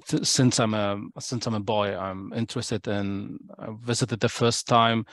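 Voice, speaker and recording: A man's voice: medium pitch, a bit bright, no echo. A man from Poland, talking casually as a podcast guest, hesitant with many fillers and restarts. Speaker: podcast guest, tour guide.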